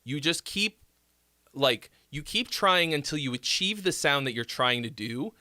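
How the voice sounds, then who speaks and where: A man's voice: a little high, expressive, measured pace, pushed and bright, no echo, clean studio sound. A man with a nasal voice hosting a live song critique stream, rambling casually with lots of fillers and restarts. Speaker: music critique livestream host. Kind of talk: casual livestream song feedback.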